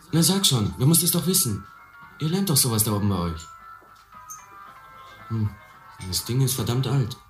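A man's voice: fairly low pitch, fairly bright tone. An actor playing a blunt, ambitious young man from the harbour in a radio play, talking colloquially and cockily. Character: streetwise, hungry, ambitious harbour youth. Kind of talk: German radio play acting. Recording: roomy, music behind.

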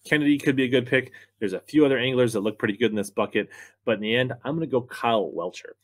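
A man's voice: mid-range voice, quick pace, no echo. An American man in his thirties giving sports commentary and picks to camera as the host. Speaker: fantasy fishing pundit and host. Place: small indoor room, seated at a desk.

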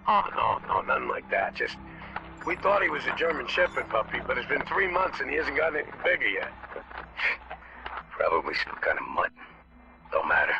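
A man's voice: mid-range voice, very animated, moderate pace, pauses often, highs cut off, noisy background. An actor playing a fatherly older survivor leader in a video game, blunt, teasing and caring. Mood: teasing, warm. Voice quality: gravelly. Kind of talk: video game cutscene acting.

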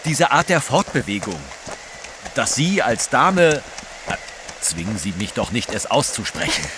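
Radio play role: pompous, etiquette-bound British reporter, animated pitch, noisy rainy outdoor scene. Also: male voice, unhurried. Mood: stuffy, indignant. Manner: pompous, fussy. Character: prim British male reporter, stuffy and proper. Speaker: actor in an audio drama. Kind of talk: German radio play acting. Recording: noisy outdoor rain backdrop.

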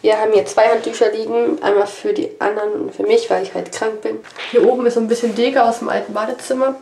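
A woman's voice: on the high side, steady tone, quick pace, gravelly, mellow, slight background noise. A young woman with a cold gives a casual tour of her family home, walking from room to room as she films herself on a handheld camera. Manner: chatty, walking and pointing things out. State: has a cold. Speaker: family vlogger. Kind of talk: casual house tour vlog. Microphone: handheld wide-angle action camera at arm's length.

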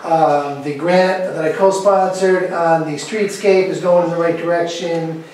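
Guy reading updates at a town meeting, kinda high voice, lots of uh, boomy room picked up from across it. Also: steady tone, a little slow, darkish tone, a little hiss or hum. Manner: reads updates from paper, with fillers. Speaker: state representative briefing a town board. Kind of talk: standing report to a board meeting. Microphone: far camera. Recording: very echoey room, from far off.

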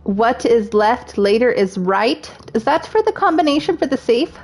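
A woman's voice: fairly high pitch, expressive, moderate pace. Chatty woman gaming streamer with scratchy voice. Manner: chatty, thinking aloud. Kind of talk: let's play commentary.

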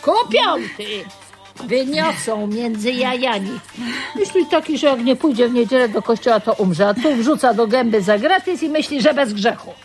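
An actress playing a coarse, loud, scornful and mocking woman in a radio drama. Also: a little high, expressive, normal speed, faint music behind, natural voice.

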